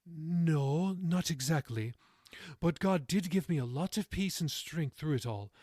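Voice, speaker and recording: A man's voice: on the high side, a little slow, a little mellow, no room sound, voice pushed up. Audiobook role: devout, hurting grandma, done by a man, earnest and pleading, close dry recording. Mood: earnest, wounded. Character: pious, pained grandmother pleading with her grandson.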